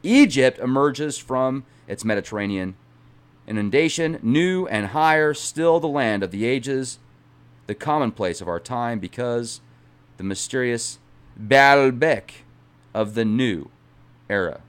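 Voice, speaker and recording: A man reads a book of catastrophes aloud with dramatic emphasis, his voice pitched in the middle range. He often breaks off for relaxed, chatty asides and stumbles over words before correcting himself. His delivery mixes theatrical reading with informal comments. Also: measured pace, smooth.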